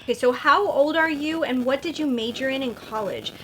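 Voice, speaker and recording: A woman's voice: high voice, fairly quick, fairly bright tone, slight background noise. A woman in her thirties chatting casually while doing her makeup, rambling with lots of likes and fillers. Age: 30s. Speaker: beauty vlogger.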